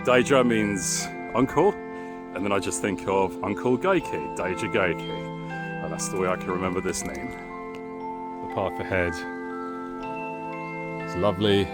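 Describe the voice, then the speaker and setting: A man whose native language is Bosnian talks his way through a travel vlog, strolling outdoors through a quiet park. His voice sits low, and he leans on wordy sightseeing flourishes. Music runs clearly beneath him. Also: a little slow, long pauses. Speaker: travel vlogger. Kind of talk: travel vlog commentary while walking.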